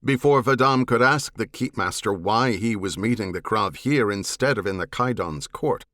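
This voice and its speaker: A man reading dramatic fiction as an audiobook narrator, in a measured, serious manner. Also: lowish voice, sharp tone, dry recording, studio quality.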